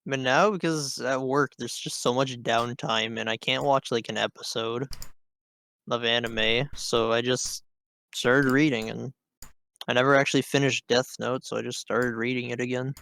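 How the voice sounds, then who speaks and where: A man's voice: mid-range voice, steady tone, moderate pace, gravelly, a bit bright. A man co-hosting a podcast, chatting casually with a blunt, teasing manner. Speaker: podcast co-host.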